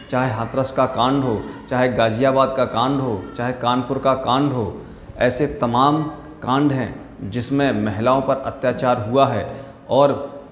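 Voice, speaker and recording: A man presenting political news commentary in a deliberate, halting manner. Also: medium pitch, a little hiss or hum. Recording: echoey room with long reverb.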